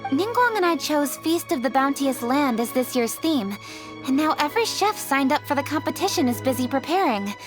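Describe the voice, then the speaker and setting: Brisk, high-voiced young woman official. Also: very animated, music in the background. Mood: brisk, thoughtful. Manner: businesslike, articulate. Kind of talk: scripted fantasy game dialogue.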